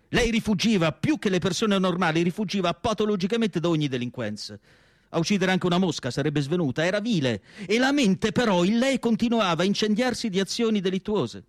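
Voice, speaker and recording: A man playing a melancholy asylum doctor in radio theatre, narrating in an emphatic literary monologue with dramatic pauses. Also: high voice, no echo, studio quality. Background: music.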